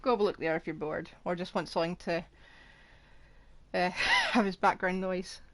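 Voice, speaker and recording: A woman's voice: on the low side, moderate pace, fairly bright tone. A woman streaming a game, giving casual off-the-cuff commentary as she plays. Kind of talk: casual live gameplay commentary.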